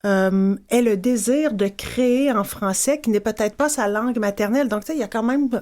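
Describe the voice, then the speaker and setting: A woman with a Québécois accent, a children's literature specialist, explaining her views in a measured, fluent way on a podcast panel. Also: a little high, a little mellow.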